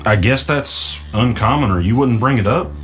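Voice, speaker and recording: A man's voice: mid-range voice, moderate pace, a bit bright, soft background music, lightly acted. An actor playing a cautious, skeptical small-town man who speaks in a plain, hesitant, questioning way in naturalistic audio drama dialogue. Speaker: character in a mystery audio drama.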